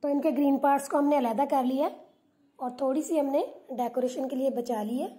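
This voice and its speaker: A woman hosting a cooking channel, calmly narrating a recipe step by step as a voice-over. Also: high-pitched, fairly quick. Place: home kitchen.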